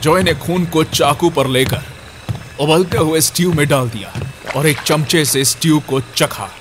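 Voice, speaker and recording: A man's voice: fairly high pitch, unhurried, a bit bright, soft background music. A man narrating a scripted horror story for an audio drama.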